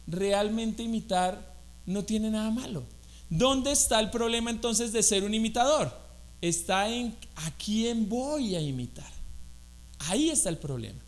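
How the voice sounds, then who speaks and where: A man with a Colombian accent preaches to a church congregation in a lively, conversational way, often turning his points into questions. His voice sits unusually high for a man. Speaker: guest preacher. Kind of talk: sermon.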